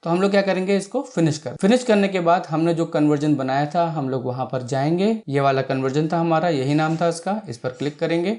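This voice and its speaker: Higher-pitched man teaching step by step. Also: darkish tone. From India. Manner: instructional, with frequent check-in tags and English technical terms mixed in. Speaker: tutorial presenter. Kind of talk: step-by-step screen tutorial, explanatory.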